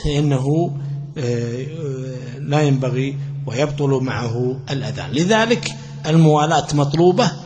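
A man's voice: medium pitch, expressive, moderate pace, over music. A man giving an explanatory religious lecture on a classical legal text, repeating phrases for emphasis as he teaches. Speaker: religious teacher of Islamic law.

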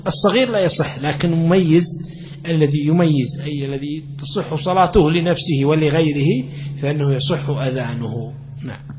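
A man with a fairly high voice, the teacher in a religious study circle going through an old text, saying key phrases twice over. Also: normal speed. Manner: repeats phrases for emphasis. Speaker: religious teacher of Islamic law. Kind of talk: explanatory lecture on a classical text.

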